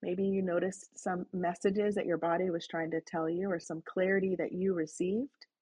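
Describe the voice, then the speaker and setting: A woman hosting an online session, guiding a slow breathing and reflection practice in a soft, inviting manner. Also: fairly low pitch, flat delivery, slightly rough. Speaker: host leading a guided breathing session. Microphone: online call.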